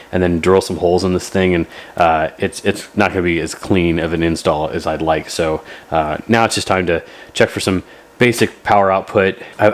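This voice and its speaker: A man talking casually through a hands-on repair video in a rambling, folksy, laid-back way. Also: deep voice. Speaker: host of a radio repair video.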